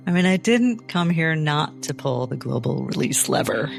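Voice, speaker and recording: A woman with a strongly creaky voice plays a tabletop roleplay game, narrating what her character does. Music plays clearly behind her. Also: low voice, expressive, moderate pace. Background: background music.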